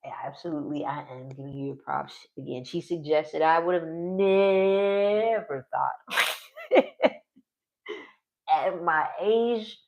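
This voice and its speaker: A man's voice: fairly high pitch, slow, gravelly, pauses often, dull audio. A man in his forties casually narrating his solo tabletop game on a live stream, rambling, trailing off mid-sentence and laughing often. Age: 40s.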